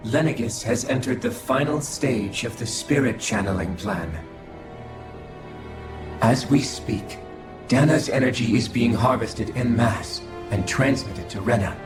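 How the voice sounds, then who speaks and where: A man voicing a coldly logical, formal alien scholar in a video game cutscene, speaking in a measured, clinical way. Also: medium pitch, natural voice. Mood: calm, formal. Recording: echoing voice over game music.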